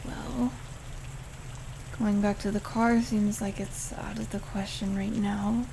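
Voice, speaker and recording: A woman playing a caring, hurt young friend in an intimate audio roleplay, speaking earnestly and haltingly to the listener. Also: medium pitch, creaky, low-quality recording. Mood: relieved, gentle. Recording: steady rain noise over the voice. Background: rain falling on surfaces.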